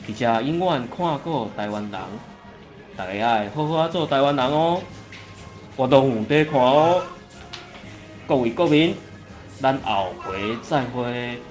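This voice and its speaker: A man's voice: mid-range voice, a little mellow. A man playing a watchful deity who narrates a folk legend in a scripted storytelling style.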